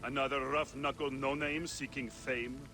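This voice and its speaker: An actor playing an arrogant, scornful Roman-era arena owner in a video game. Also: male voice, medium pitch, little pitch movement, moderate pace, a little hiss or hum, natural voice. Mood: sneering. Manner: scornful, dismissive. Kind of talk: video game cutscene acting. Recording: loud background music.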